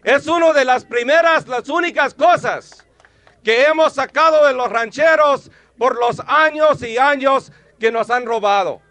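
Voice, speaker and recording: A man, delivering a rousing union rally speech and shouting slogans to a crowd. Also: very high-pitched, a little slow, no echo.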